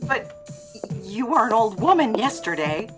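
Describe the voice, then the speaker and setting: A woman playing an awkward, forgetful wanderer in an audio drama, hesitant, evasive and deadpan. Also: a little high, a little slow, over music. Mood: baffled, hesitant. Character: awkward, forgetful goblin wanderer named Knapp, evasive and sheepish.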